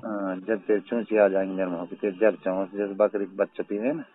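A man with a Mewati accent talks casually over the phone. His voice is fairly low and rough-edged with husk. The recording is narrow and tinny, like a saved call. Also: steady tone, a little fast, no echo. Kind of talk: casual private phone chat. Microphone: telephone.

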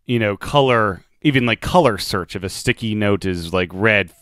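A man hosting a podcast, asking questions in a relaxed, easygoing way. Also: lowish voice, moderate pace, clean tone, no room sound, clean studio sound. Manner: relaxed, easygoing, casual phrasing. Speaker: podcast host. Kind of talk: casual interview chat.

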